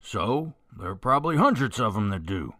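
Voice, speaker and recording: A man acts out a guilty mechanic in a radio play, evasive and easily indignant. His low voice is sharp and swings widely, recorded close and dry. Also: very animated, moderate pace, dry recording. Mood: defensive, indignant. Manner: hesitant, evasive, indignant when accused. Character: guilty airport mechanic, defensive killer cornered into confessing. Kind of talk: amateur radio drama acting.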